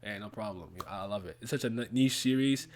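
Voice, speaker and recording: A man's voice: lowish voice, a little fast. A man whose first language is French, casually answering chat comments on a livestream with blunt, heated opinions and repeated phrases. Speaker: YouTuber streaming to chat.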